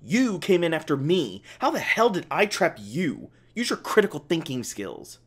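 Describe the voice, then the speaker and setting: An animated, snarky scientist held captive, played by a roleplay performer, with a mid-pitched voice that swings widely. The delivery is testy and sarcastic, in casual, sweary speech made for a one-sided audio drama. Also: moderate pace, husky. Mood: indignant, yelling.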